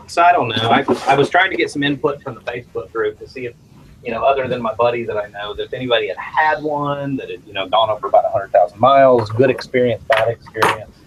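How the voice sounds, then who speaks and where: A man rambles through an easygoing home vlog, stopping to restart sentences and fill pauses. A noticeable layer of background noise sits under his voice. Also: mid-range voice. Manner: rambling, with many restarts and fillers. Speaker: vlogger. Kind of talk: casual home chat. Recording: noisy home recording.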